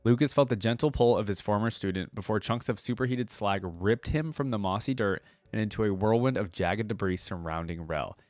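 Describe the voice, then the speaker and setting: Guy doing a reaction vid, reads fantasy lore out loud n chats about it, lowish voice, very dry sound. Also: a little fast, hardly any pauses, no room sound. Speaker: reaction video host. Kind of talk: reading a fantasy story aloud with casual commentary.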